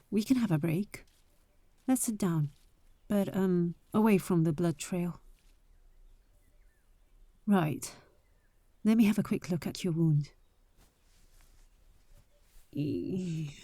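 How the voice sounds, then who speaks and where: Bold young adventurer played by a woman for roleplay audio drama: soft, dark tone, very dry close sound, teasing and impatient yet caring. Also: fairly low pitch, breathy edge, no room sound, heavily acted. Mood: caring, calm, then hushed, uneasy.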